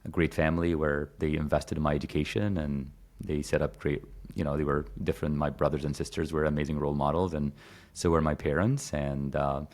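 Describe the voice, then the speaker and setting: Very deep-voiced man from Egypt. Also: little pitch movement, brisk, darkish tone. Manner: rambling, many fillers and restarts. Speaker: guest. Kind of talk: casual conversation.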